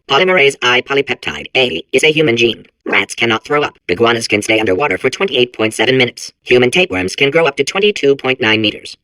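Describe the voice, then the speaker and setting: Video game robot core: smug, malfunctioning know-it-all, animated, emphatic announcer delivery, very dry sound. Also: male voice, medium pitch, dry recording, rough recording. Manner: emphatic, crisply didactic, authoritative announcer delivery. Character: malfunctioning robot core reciting facts, smugly certain and eager. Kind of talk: rapid-fire fact recital, video game character.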